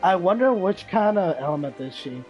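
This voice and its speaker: A woman streams a game with casual commentary. Her voice is soft-edged and mellow, a little on the low side. Faint music sits behind her. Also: expressive, moderate pace, lo-fi sound. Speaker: gaming streamer.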